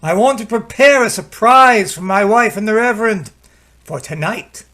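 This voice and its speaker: A man's voice: high voice, normal speed, bright, forceful tone. A man playing an ailing, cantankerous yet boisterous elderly husband in an amateur radio drama, with a theatrical delivery. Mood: jubilant.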